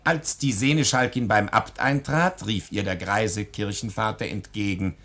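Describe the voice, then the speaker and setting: A man's voice: on the low side, unhurried, a bit bright. A man narrating a 1940s radio play in a wry, ornate storybook manner.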